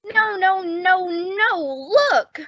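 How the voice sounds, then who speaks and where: A woman's voice: very high-pitched, animated, drawn-out words, bright, forceful tone, dry recording, highs cut off, full character voice. A woman playing an eager, earnest, slightly stammering young bellboy in an old-time radio comedy. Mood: puzzled, excited.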